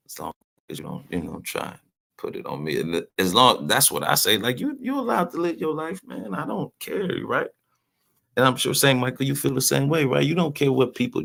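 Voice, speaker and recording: Gravelly-voiced man hosting a livestream. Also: medium pitch, fairly bright tone. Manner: loose and joking, talks to viewers in chat by name. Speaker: livestream host. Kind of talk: casual live-stream commentary, reading chat.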